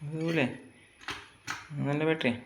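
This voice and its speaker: A man's voice: a little high, steady tone, unhurried. Man giving casual instructions amid noise. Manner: informal, directing. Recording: clear background noise with clicks.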